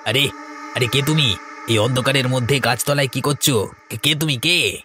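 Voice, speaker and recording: A man voices a nervous village man in a horror cartoon. His pitch is medium and his manner is easily spooked. Music plays clearly behind him. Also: normal speed, pushed and bright, close to an everyday voice. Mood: frightened. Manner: stammering, panicky. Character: frightened village man walking through dark forest.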